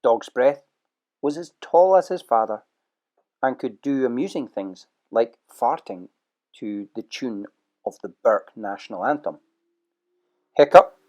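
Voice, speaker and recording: A man narrating a children's storybook audio play with lively, expressive storytelling. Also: mid-range voice, dull audio.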